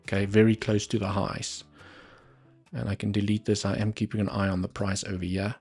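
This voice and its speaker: Low-voiced South African man thinking aloud. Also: flat delivery, a little fast, a little mellow, breathy edge, faint music behind. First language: Afrikaans. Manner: thinking aloud, muttering asides. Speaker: livestream trading host. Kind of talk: live market analysis commentary.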